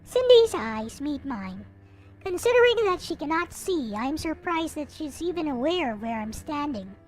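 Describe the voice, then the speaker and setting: A woman reading a visual novel aloud in an expressive, theatrical way, with some casual commentary. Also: high voice, moderate pace. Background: background music.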